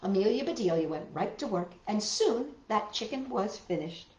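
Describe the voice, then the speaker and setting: Animated woman reading a children's story. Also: mid-range voice, normal speed, muffled recording. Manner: animated, emphatic. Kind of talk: expressive read-aloud narration. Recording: roomy echo.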